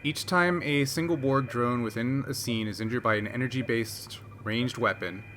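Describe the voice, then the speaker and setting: A man's voice: mid-range voice, moderate pace, fairly bright tone, slight background noise. A man running a tabletop game as game master, reading rules aloud with many fillers.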